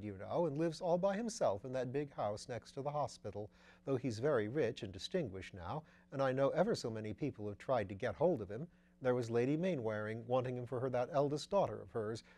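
A man voicing a chatty elderly duchess who rambles breathlessly from one bit of gossip to the next, her phrases rising high. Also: a little mellow, lightly acted.

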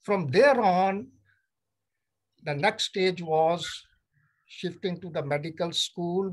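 An older man from Punjab whose first language is Punjabi, speaking Indian English and telling his life story in a measured, storytelling way over a video call from a room at home. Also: on the high side. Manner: measured, storytelling, acts out quoted exchanges. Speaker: neurologist guest. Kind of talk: recounting life story in an interview.

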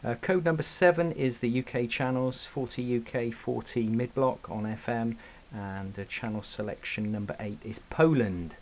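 A man's voice: mid-range voice, moderate pace, hardly any pauses. A man giving a casual, hesitant, unscripted product review and demonstration as a hobbyist, recorded on a camera microphone indoors. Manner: hesitant, informal, unscripted delivery. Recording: hissy, noisy amateur camera recording.

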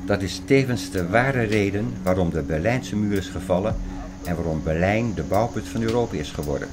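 A man's voice: low voice, normal speed, noisy background, close to an everyday voice. An actor playing a fervent man expounding apocalyptic theories in a radio play, insistent, didactic and declamatory. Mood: fervent, lecturing. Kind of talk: radio play dialogue, insistent lecturing and reciting.

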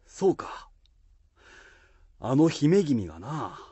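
Man acting a slow, husky samurai warlord. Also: on the high side, very animated, warm tone, dry recording, heavily acted. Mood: musing. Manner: slow, weighty archaic lordly speech. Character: lordly middle-aged samurai warlord, musing and commanding. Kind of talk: Japanese period radio drama.

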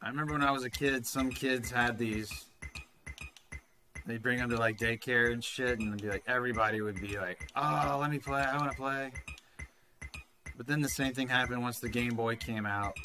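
A man's voice: medium pitch, normal speed, bright, forceful tone, faint music behind. A man in his thirties casually talking through a retro handheld game he is playing, rambling and swearing now and then, on a desk mic at home. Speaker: retro gaming channel host. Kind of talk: casual gameplay commentary.

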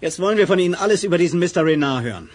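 High-pitched, hot-headed male hero. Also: animated, racing. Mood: threatening. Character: hot-headed, brave male crime-fighter, protective and gruff. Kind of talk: 1980s German radio drama acting.

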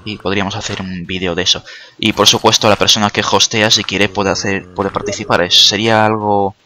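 Swearing, chatty gamer man, bright voice. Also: on the low side, flat delivery, brisk, slight background noise. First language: not Spanish. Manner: chatty, swears a lot, jokey, startled outbursts. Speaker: gaming video creator. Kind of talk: casual let's-play commentary.

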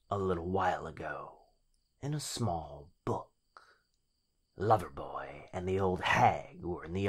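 A man voicing a possessive, predatory living shadow in a yandere ASMR roleplay, husky and half-whispered, taunting with menacing, mock tenderness. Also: low voice, a bit bright, heavily acted. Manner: slow, menacing, mockingly tender.

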